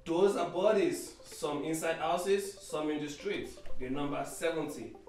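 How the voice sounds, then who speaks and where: A man's voice: a little high, a little mellow. Echoey matter-of-fact military analyst, played by a man. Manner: measured, explanatory. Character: matter-of-fact male military image analyst giving briefing. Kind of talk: briefing in a radio drama. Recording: very echoey, distant, faint music.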